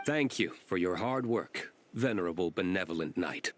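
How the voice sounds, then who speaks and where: A man's voice: low-pitched, expressive, normal speed, a little hiss or hum. An actor playing an aloof, composed young nobleman in a video game, reserved and formal in his delivery. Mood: reserved, courteous. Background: game music.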